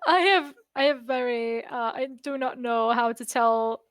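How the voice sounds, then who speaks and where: A woman's voice: fairly high pitch, unhurried, a bit bright, clear, resonant tone, dry recording, clean studio sound. A woman from Scandinavia chatting on a live role-play stream, enthusiastic and gushing, laughing often. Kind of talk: casual chat on a live stream.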